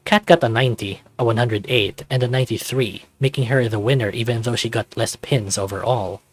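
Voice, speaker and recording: A man's voice: mid-range voice, steady tone, a little fast, no room sound, soft background music, low-quality recording. A man narrating a visual novel and chatting casually as the host of a read-aloud channel.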